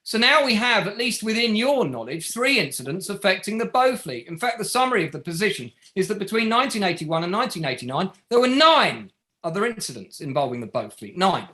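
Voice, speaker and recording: An actor playing a relentless barrister who cross-examines with pointed, sardonic questions in a courtroom drama table read. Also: male voice, high voice, big pitch swings, fairly quick, bright, forceful tone, exaggerated voice. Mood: methodical, accusing.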